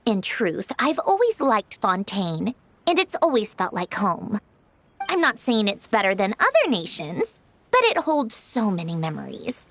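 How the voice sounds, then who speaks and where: A woman playing a gracious, composed young woman in a video game, speaking in a courteous, measured and sincere way. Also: mid-range voice, wildly swooping pitch, fairly bright tone, heavily acted. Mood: reflective, content.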